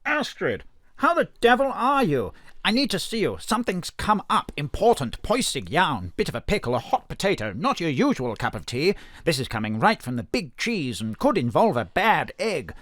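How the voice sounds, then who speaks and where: A man's voice: on the high side, sing-song, normal speed, a bit bright, no room sound. A man doing a hearty idiom-spouting spymaster boss. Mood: urgent. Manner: rattles off stock phrases, hearty and urgent. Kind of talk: remote audio drama acting.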